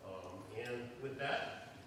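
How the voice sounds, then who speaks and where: A man's voice: mid-range voice, slow pace, far from the mic. A man introducing a guest speaker at a lecture, hesitant with many fillers. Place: lecture hall. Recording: echoey hall recorded from afar, noisy.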